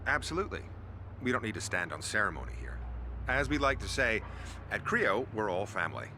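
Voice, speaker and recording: Male tech founder played by an actor, affable and self-assured interviewee, lowish voice, noisy backdrop. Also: animated, a little fast, natural voice. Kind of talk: media interview. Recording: clear background noise.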